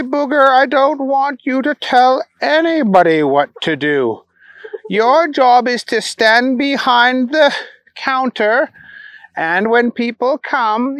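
A reader plays a thin, stiff bank manager in a buttoned-up, formal tone. He measures every word at a slow, deliberate pace. His voice sits a little above the reader's own. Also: sits high, fairly bright tone, dry recording, voice pushed up, exaggerated voice.

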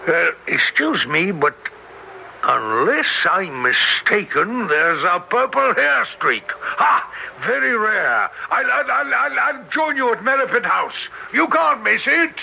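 An eccentric naturalist from a vintage radio thriller, darkly knowing and excitable. The voice is very sharp with a creaky grain and swooping, animated delivery. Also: male voice, high-pitched, slow pace, heavily acted. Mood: excited. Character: Stapleton, excitable, eccentric naturalist with a sinister edge. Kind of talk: old radio drama acting. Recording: faintly noisy old radio recording.

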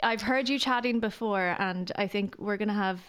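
A woman's voice: mid-range voice, normal speed, fairly bright tone, smooth. A woman hosting a podcast in a friendly way, laughing easily. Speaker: podcast host.

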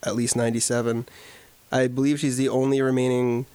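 Young male co-host, mid-pitched, dry close sound, trivia-heavy chat. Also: little pitch movement, mellow, clean tone, dry recording. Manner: informative, quick with film trivia. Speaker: podcast co-host. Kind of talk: casual movie chat.

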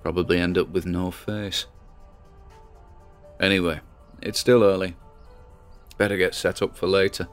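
A deep-voiced, weary man played by an actor keeping an obsessive audio log, with music behind him. He speaks haltingly, muttering and questioning himself, in an audio drama. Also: flat delivery, clear, resonant tone. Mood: wry, baffled. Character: obsessive, spooked, weary amateur male investigator recording audio logs. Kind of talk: audio diary drama monologue.

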